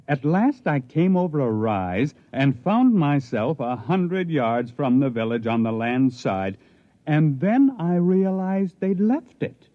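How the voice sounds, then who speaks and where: A man's voice: a little high, big pitch swings, takes it slowly, dry recording. An actor playing a proud, intense stranded spaceman telling his story in a 1950s radio drama, with emphatic, dramatic delivery. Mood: wry, wistful. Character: stranded human spaceman recounting his life among aliens, proud, intense. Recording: muffled vintage 1950s radio recording.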